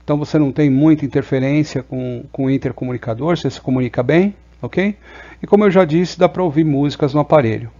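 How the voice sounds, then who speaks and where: A man's voice: fairly high pitch, dark tone, lo-fi sound. A Brazilian man presenting a casual product review in an explanatory way, often checking in with short tag questions.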